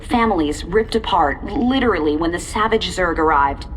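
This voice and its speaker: A woman's voice: lowish voice, lively intonation, moderate pace, lightly acted. An actress playing a poised, earnest female TV news reporter with a professional broadcast delivery. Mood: earnest, pointed. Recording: music behind the voice.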